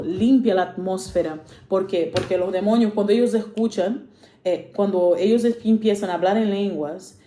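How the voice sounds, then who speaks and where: A woman preacher whose first language is Portuguese, speaking earnestly with a mellow, warm tone. She stumbles on fillers and corrects herself as she goes. The room has a noticeable echo. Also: mid-range voice. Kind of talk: informal preaching to camera.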